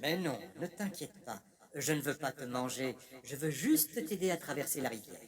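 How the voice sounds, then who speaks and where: A woman voicing a sly fox in a children's cartoon fairy tale, coaxing softly with false reassurance. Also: sits low, lively intonation, normal speed.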